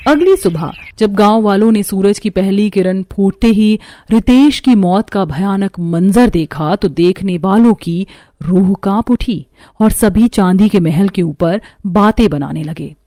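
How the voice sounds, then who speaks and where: A woman's voice: medium pitch, a little slow, dark tone, no echo. A woman reading scripted narration for a horror story.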